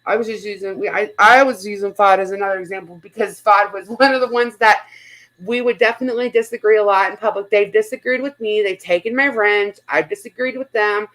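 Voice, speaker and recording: A woman with an average-pitched voice hosts a casual livestream. She talks bluntly and informally, says what she thinks and swears freely. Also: steady tone, a little fast, fairly bright tone, low-quality recording. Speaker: livestream host.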